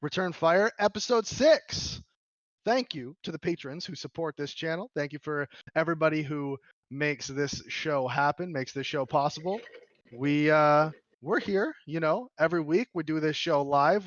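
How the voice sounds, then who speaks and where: A slightly hoarse man hosting a podcast in casual chat, chatty and laughing often. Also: on the high side, moderate pace, dry recording, lo-fi sound. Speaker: podcast host.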